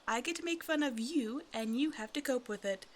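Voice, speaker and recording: A woman's voice: on the high side, lively intonation, brisk, slight background noise, lightly acted. A woman playing a predatory female naga in an ASMR roleplay, talking to the listener with teasing, condescending, casual menace. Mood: playful taunting. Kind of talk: ASMR roleplay, one-sided scripted dialogue to the listener.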